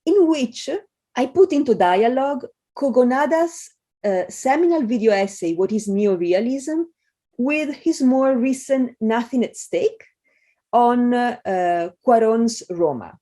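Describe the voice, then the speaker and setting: A woman from Italy with an Italian accent, a scholar answering interview questions in a thoughtful way with frequent pauses and fillers. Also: fairly high pitch, lively intonation, dark tone. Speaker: guest scholar.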